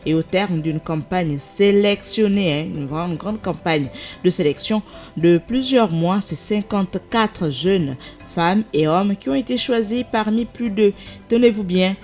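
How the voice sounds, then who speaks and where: A woman presenting a live radio show, talking briskly and chattily and sometimes correcting herself. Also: mid-range voice, darkish tone, soft background music.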